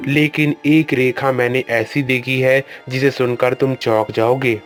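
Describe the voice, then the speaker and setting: A man voicing a wise old guru who calmly reads a princess's palm and gives firm orders in a cartoon story. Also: mid-range voice, measured pace, soft background music, close to an everyday voice. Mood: solemn. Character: wise old male guru, calm and commanding. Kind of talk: Hindi cartoon storybook voice acting.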